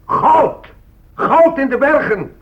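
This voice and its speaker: An actor playing a greedy, impatient settler negotiator with a husky voice and an insistent manner in a radio drama. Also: male voice, very high-pitched, animated, takes it slowly, exaggerated voice. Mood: excited. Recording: muffled, narrow-band old radio recording.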